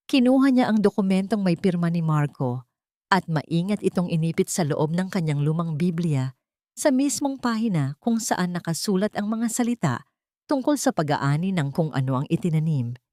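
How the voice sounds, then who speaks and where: A woman's voice: fairly low pitch, a little mellow, dry recording, clean studio sound. A woman narrating a scripted audio story in a dramatic, suspenseful manner.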